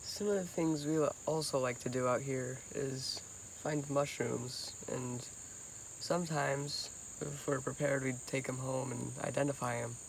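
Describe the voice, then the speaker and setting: A male speaker talking shyly and hesitantly outdoors in the woods, with many pauses and ums. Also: medium pitch, fairly even intonation, gravelly, rough recording. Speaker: vlogger's son. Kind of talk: casual outdoor chat. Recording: outdoor insect noise behind. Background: crickets and insects.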